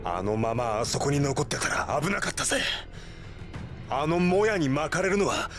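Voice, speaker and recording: A man voicing a brash teenage delinquent hero in an anime video game, rough, casual and urgent. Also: on the high side, animated, normal speed, over music, close to an everyday voice. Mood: tense, relieved.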